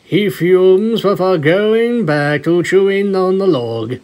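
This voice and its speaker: Slow-paced male storyteller, slightly high voice. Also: a little mellow. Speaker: fanfiction reader, narrator. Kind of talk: scripted storybook narration.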